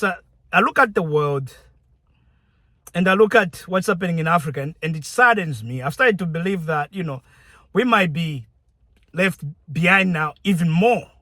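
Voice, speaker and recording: A man's voice: high-pitched, lively intonation, moderate pace, long pauses, pushed and bright, no echo. A young man from Zambia talking casually to his viewers, chatty and conversational, often restarting his sentences. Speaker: YouTuber. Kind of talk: casual direct-to-camera talk.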